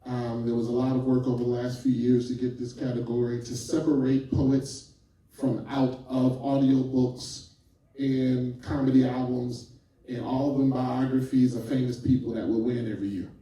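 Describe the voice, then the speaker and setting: A man's voice: mid-range voice, little pitch movement, moderate pace, mellow. A man hosting a panel discussion, talking casually and hesitantly with many fillers. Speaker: host. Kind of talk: panel moderation. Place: event room before a live audience. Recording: echoey hall, mic far off.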